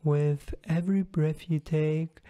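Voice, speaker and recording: A man guides a meditation in a slow, smooth voice, pitched a little higher than most men. He gives calm, measured instructions in short phrases, with a soft, mellow tone.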